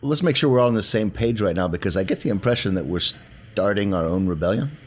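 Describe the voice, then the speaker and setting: A man's voice: on the low side, lively intonation, fast, a little hiss or hum. A man talking casually as a player in a roleplaying game, reasoning through plans.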